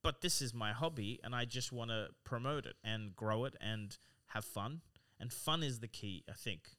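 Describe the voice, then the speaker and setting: Bright-voiced man chatting. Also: medium pitch, moderate pace, clean tone. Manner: candid, swears casually, laughs mid-sentence. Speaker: podcast co-host. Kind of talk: casual chat. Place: studio room, in person.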